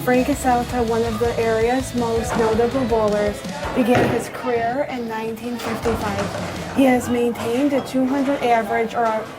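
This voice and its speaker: A woman's voice: a little high, flat delivery, moderate pace, rough recording. A woman interviewing people casually, sometimes reading aloud. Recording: loud music playing behind. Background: music, in a bowling alley.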